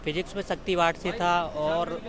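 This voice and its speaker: A man's voice: a little high, little pitch movement, normal speed. A young man from Uttar Pradesh answering an informal street interview as an exam candidate. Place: outdoors, street among people. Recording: crowd noise, slightly distant voice outdoors.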